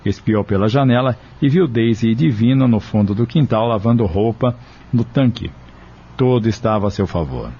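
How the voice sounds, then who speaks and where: A man's voice: lowish voice, normal speed, mellow, breathy edge. A man reading scripted narration for an audio novel. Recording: dull lo-fi radio drama sound.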